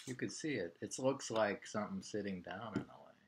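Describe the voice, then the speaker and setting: A man in his sixties with an American Midland accent, chatting casually and reading poetry aloud in a halting, musing way. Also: lowish voice. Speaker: poetry reader and host. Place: small home room by a window, indoors. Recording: clearly noisy home webcam recording with clicks.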